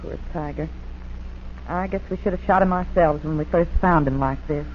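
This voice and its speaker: Folksy hill-country farm wife, actress, plain-spoken and brisk, 1940s radio drama with faint hiss. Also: female voice, sits low, lightly acted. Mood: weary, suspicious. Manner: folksy, blunt country talk. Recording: dull, hissy 1940s radio broadcast.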